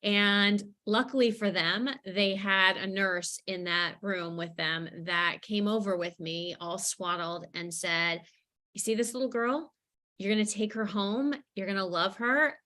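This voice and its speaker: A woman's voice: medium pitch, unhurried, sharp tone. A woman guest telling her personal story in a reflective way, leaning on key words. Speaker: guest speaker, author.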